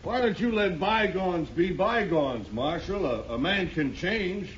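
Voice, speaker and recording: A man playing a shifty, defensive hired gunslinger in a 1950s radio western, with a halting, wheedling way of talking. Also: sits high, lively intonation. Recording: dull, hissy 1950s radio recording.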